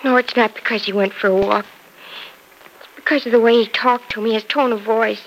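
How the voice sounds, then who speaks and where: A woman's voice: a little high, fairly even intonation, a little fast, a little hiss or hum, lightly acted, poor audio. An actress playing an anxious young wife in a 1950s radio soap, earnest and pleading with her husband, close to tears. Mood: tearful.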